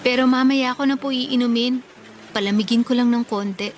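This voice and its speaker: A woman's voice: fairly high pitch, steady tone, normal speed, a little hiss or hum, close to an everyday voice. A woman playing a dutiful but exasperated young working daughter in a radio drama, polite and firm. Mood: patient, polite.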